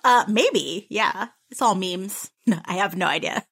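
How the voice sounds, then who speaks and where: A woman's voice: medium pitch, lively intonation, unhurried, a bit bright, breathy edge. A woman co-hosting a book podcast in casual chat, easygoing and agreeable with dry humor. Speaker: podcast co-host.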